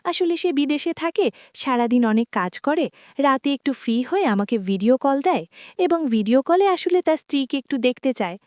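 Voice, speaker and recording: Lively Bengali-speaking woman storyteller, dry sound. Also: high voice, moderate pace, dry recording. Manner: confiding, addresses listeners as friends. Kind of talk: first-person story narration.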